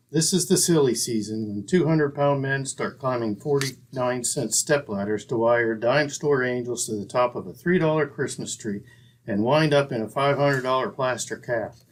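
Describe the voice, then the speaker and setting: An actor playing a dry-witted, tight-fisted town doctor in a 1940s-style radio comedy. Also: male voice, medium pitch, natural voice, low-quality recording. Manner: pompous, sardonic.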